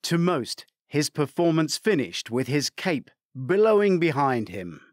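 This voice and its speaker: A man reading a crime thriller aloud as scripted narration, measured and theatrical, lifting his voice for quoted commands. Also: a little high, big pitch swings, dry recording, studio quality. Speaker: audiobook narrator.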